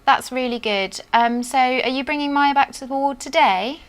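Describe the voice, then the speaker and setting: A woman's voice: sits high, moderate pace, natural voice. A woman playing a hospital ward nurse in a training film, speaking in a casual, polite and agreeable manner. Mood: friendly, easygoing. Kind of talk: acted training film scene.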